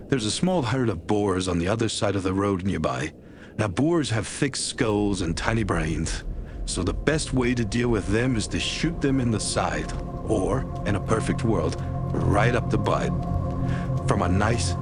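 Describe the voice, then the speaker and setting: Seasoned friendly deputy, folksy survival trainer, low voice, game dialogue over loud music. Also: male voice. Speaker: tutorial guide. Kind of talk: video game character dialogue.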